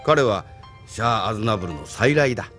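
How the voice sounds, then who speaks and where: A man's voice: on the low side, lively intonation, brisk, a bit bright, faint music behind. An actor playing a confident, opinionated man who argues assertively in an audio drama. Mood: assertive, critical. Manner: assertive, argumentative. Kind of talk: Japanese audio drama acting.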